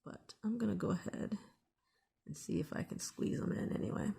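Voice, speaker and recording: A woman's voice: lowish voice, sing-song, normal speed, vocal fry, dark tone, breathy edge. A woman hosting a casual hands-on DIY tutorial, thinking aloud in an unscripted way as she works. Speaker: DIY video host.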